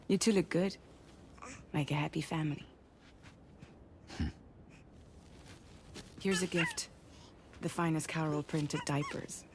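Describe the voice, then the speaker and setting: An actress playing a composed, businesslike former courier boss in a video game, speaking in a measured, matter-of-fact way. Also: female voice, on the low side, background noise. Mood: friendly, wry. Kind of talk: cinematic video game dialogue.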